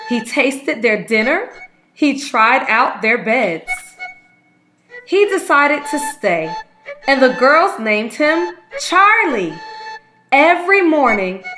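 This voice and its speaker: A woman reading a children's story aloud as a read-aloud host, with expressive, unhurried storytelling. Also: on the high side. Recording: music playing behind the voice. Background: instrumental music.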